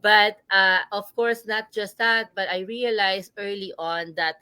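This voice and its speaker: A woman's voice: medium pitch, steady tone, a little slow, bright, forceful tone, clear, resonant tone, no room sound. A Filipino-accented woman in her forties, a business coach telling her own story in a live interview, earnest and chatty, often checking in with "right?". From Philippines.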